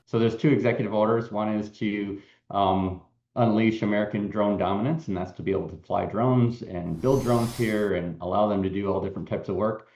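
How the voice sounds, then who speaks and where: A man's voice: lowish voice, steady tone, fairly quick, darkish tone. A man, a company chief executive, answering questions in a TV interview over a remote link, explaining earnestly with frequent ums and restarts. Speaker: tech company CEO guest. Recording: roomy, dull remote feed.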